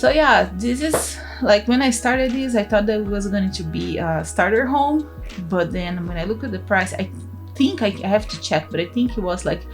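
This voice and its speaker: A woman's voice: medium pitch, normal speed, faint music behind. A young woman from Brazil, a native Portuguese speaker, talking in English with a Brazilian accent in a casual, laughing commentary over her game build. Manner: chatty, laughs often, restarts words. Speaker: gaming channel host.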